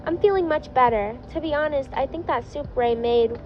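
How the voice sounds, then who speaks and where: A proud, warm girl who is easily amazed, played by a woman reading aloud. Her storybook voice is high, and the recording is slightly muffled with music behind it. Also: moderate pace, slight background noise, close to an everyday voice. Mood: chatty, pleased. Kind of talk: storybook-style character voice in fan fiction reading.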